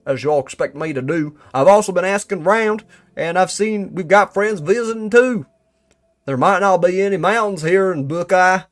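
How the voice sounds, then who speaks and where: A man's voice: sits high, voice pushed up, lightly acted. A man voicing a folksy cowboy employee with a Southern US accent and a theatrical drawl, reading out an investigation report. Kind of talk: character reading of a written report.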